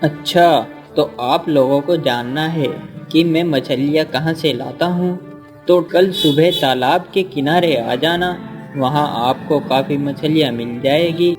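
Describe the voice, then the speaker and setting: A man playing the polite youngest brother of a fishing family in a cartoon story, speaking deliberately. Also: fairly high pitch, faint music behind, lightly acted. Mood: knowing. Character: obedient, sly youngest brother selling fish.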